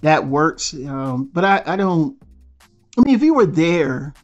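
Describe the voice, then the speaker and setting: A man's voice: fairly high pitch, big pitch swings, unhurried, highs cut off, faint music behind. A man hosting a toy news channel, speaking as a blunt, slangy, rambling voice-over over still images. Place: voice-over, not in pictured place.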